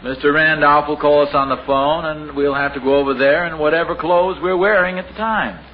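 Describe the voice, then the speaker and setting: A man's voice: a little high, fairly even intonation, fairly quick, muffled recording, natural voice. A middle-aged man playing a genial, easily flustered family father in a radio sitcom, chuckling and amiable. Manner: chuckling, amiable, a little bumbling. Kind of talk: 1950s radio sitcom acting. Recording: scratchy 1950 radio broadcast with hiss.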